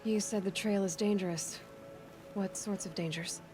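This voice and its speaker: An actress playing a determined, self-reliant young huntress in a video game, speaking in a direct, guarded, earnest way. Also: female voice, medium pitch, steady tone, normal speed, lightly acted. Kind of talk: dramatic video game dialogue. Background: background music.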